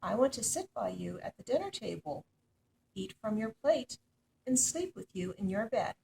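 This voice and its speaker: Woman voicing an insistent storybook frog, swooping pitch. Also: big pitch swings, moderate pace, vocal fry, dark tone, a little hiss or hum, poor audio. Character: big ugly green frog, male, pleading and insistent.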